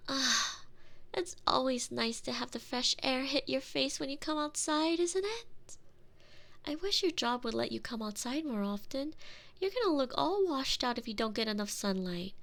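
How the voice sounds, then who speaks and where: A spoiled young lady of high birth, acted by a woman in an intimate audio roleplay. Her voice sits fairly high and moves around expressively as she coaxes and dotes. She is possessive and used to getting her way. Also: moderate pace, vocal fry, full character voice. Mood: doting, coaxing. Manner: gushing, coaxing, expressive and intimate. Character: doting, possessive young noblewoman, spoiled and insistent. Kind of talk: scripted roleplay audio addressed to the listener.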